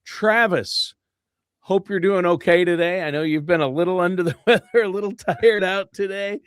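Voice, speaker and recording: A man co-hosting a financial podcast, upbeat and encouraging, agreeing often with quick remarks. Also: sits high, clean tone, no echo. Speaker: podcast co-host. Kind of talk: casual podcast chat.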